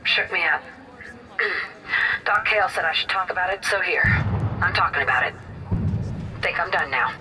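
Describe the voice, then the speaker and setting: A shaken colonist speaks a brief log in terse, uneasy snatches. His voice sits fairly high for a man. Loud noisy game ambience surrounds it. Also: expressive, normal speed, long pauses. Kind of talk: audio log in a video game.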